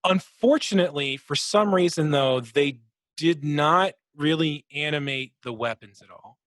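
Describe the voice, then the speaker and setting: A man's voice: on the high side, measured pace, fairly bright tone, no room sound. A man co-hosting a podcast, chatting casually about games, swearing freely and imitating sound effects. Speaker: podcast co-host. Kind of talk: casual gaming chat.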